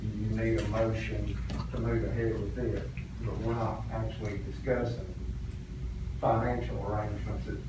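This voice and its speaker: Flat-toned man in a very echoey meeting. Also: lowish voice, moderate pace. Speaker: county commissioner in a committee meeting. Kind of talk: formal public meeting discussion. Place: indoor public meeting room. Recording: very echoey room, distant mic, noisy.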